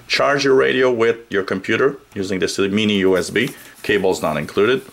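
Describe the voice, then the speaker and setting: A man giving a casual hands-on gadget review, explaining things plainly and haltingly as the reviewer. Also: on the low side, gravelly. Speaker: product reviewer. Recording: noisy home recording, steady background noise.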